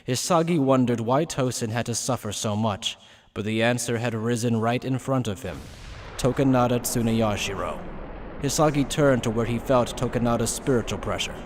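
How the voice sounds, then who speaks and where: A man's voice: medium pitch, normal speed. A man reading scripted, dramatic third-person narration for an anime fan dub. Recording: reverberant, slightly noisy recording.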